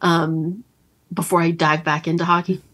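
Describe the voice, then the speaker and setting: Chatty woman with a low voice. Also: moderate pace. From Northern Kentucky. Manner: chatty and animated, frequent ums and likes, laughs as she talks. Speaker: romance author, guest. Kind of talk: casual podcast interview.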